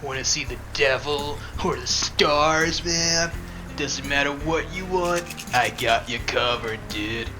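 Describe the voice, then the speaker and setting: Man playing a drawling, higher-voiced stoner street dealer. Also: slow pace. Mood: laid-back, pushy. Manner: drawling slang, mocking, says dude constantly. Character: laid-back stoner male street drug dealer, mouthy slacker. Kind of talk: audio drama acting. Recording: music behind the voice.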